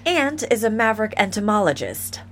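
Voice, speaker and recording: A woman's voice: mid-range voice, very animated, fairly quick, noisy background, lightly acted. A woman playing a serious, cautious agent in an audio drama, matter-of-fact with some urgency.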